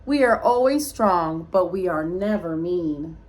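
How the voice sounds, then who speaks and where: A woman's voice: on the low side, expressive, a little slow, a little mellow, voice pushed down. A woman reading the part of a proud, welcoming schoolboy in a rhyming storybook, earnestly declaring his lines.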